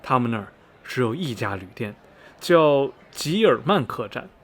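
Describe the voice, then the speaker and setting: A man acting in a radio drama as a chatty, superstitious small-town local, telling rumours in a folksy, animated way full of interjections. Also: medium pitch, moderate pace. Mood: earnest warning. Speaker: actor in an amateur student radio drama.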